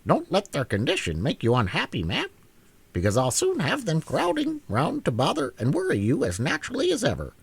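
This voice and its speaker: A man voicing a talkative female hen with a sharp, brisk voice, pitched high above his own. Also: wildly swooping pitch, vocal fry, husky, no room sound, exaggerated voice.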